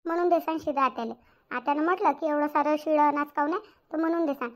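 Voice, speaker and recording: A woman's voice: high voice, fairly even intonation, fast, natural voice. A woman voicing an outspoken, grumbling young daughter-in-law in a Marathi cartoon, with a rural Varhadi accent. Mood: indignant complaining. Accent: rural Maharashtra, Varhadi Marathi. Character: indignant young village daughter-in-law, outspoken, complaining, caring. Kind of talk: domestic cartoon story dialogue.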